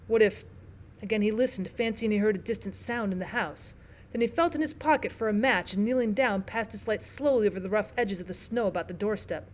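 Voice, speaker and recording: A woman teacher narrating a classic novel aloud in a steady, careful reading. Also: medium pitch. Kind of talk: audiobook narration.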